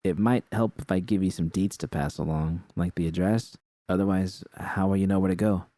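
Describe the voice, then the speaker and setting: A wry young woman in a cyberpunk audio story, played by a man. The voice sits somewhat raised over a mellow base, with a dry, teasing and casual delivery. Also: sits low, steady tone, normal speed, dry recording, lightly acted. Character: Judy, wry, foul-mouthed young woman, studio owner. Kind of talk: character dialogue in audio fiction.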